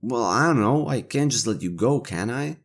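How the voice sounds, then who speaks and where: A man playing a cynical, world-weary imperial knight interrogator with a conscience, in a roleplay audio drama, gravelly, sardonic and given to swearing. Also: mid-range voice, animated, normal speed. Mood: guarded, conflicted.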